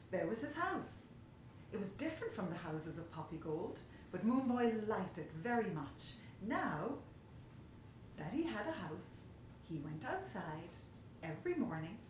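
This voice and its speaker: Woman children's story reader, unhurried and animated, with big swoops in pitch, recorded in a very reverberant room. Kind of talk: expressive children's story narration. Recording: very echoey room, faint hiss.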